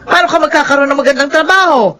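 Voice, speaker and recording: A woman's voice: high voice, fast talker, bright, forceful tone. An actress playing an exasperated but caring middle-aged mother in a Filipino radio drama. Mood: admonishing.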